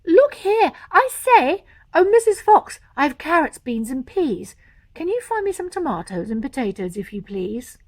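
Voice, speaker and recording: A woman's voice: sits high, big pitch swings, moderate pace, no room sound, pitched above the performer's own voice. A woman voicing a gallant, eager fox husband in a storybook, courteous and full of exclamations. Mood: eager, polite.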